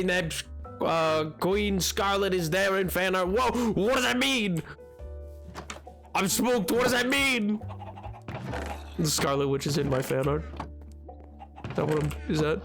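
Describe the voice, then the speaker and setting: A man streaming a game, chatting with his viewers in a rambling, excitable way, his voice hoarse from a cold. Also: sits high, measured pace, long pauses, music in the background. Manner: rambling, excitable, jumps between topics. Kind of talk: casual chat with stream chat while gaming.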